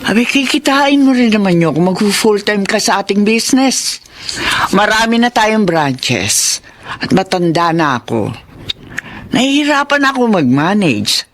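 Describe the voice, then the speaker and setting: A woman's voice: medium pitch, sing-song, measured pace. A woman acting an older, concerned and probing mother who runs a family business, in a radio drama. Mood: concerned, coaxing.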